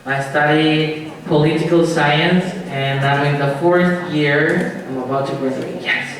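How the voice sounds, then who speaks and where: A young man addresses a class in a loose, joking way, often checking that the students follow him. His voice sits a little high. It comes from across a very echoey classroom with faint noise behind it. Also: steady tone, a little slow, far from the mic. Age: 20s. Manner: casual, joking, checks in with the audience. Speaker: guest presenter.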